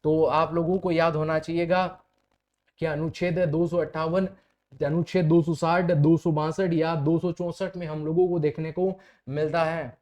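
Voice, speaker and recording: A man's voice: a little high, flat delivery, unhurried, darkish tone. A man lecturing as an exam coach, repeating points and putting questions to students. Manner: repetitive, explanatory, questioning the students. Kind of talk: classroom lecture for exam preparation.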